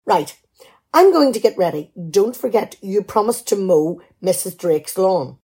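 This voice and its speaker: A woman playing a nagging, exasperated, sharp-tongued middle-aged wife in a radio play. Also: medium pitch, sing-song, measured pace, husky. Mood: brisk, bossy. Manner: exasperated, sarcastic scolding.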